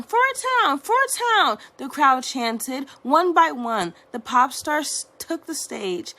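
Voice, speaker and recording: A woman teacher reading a children's storybook aloud in a soft, hushed narration. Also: sits high.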